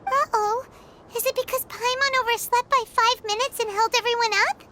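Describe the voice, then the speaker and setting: Squeaky, very high little fairy. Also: female voice, steady tone, normal speed, very noisy. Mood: sheepish. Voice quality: squeaky, childlike. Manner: refers to herself in third person. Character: tiny childlike floating fairy companion, excitable, fussy, female. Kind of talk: cartoonish video game acting. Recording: reverberant, music behind the voice.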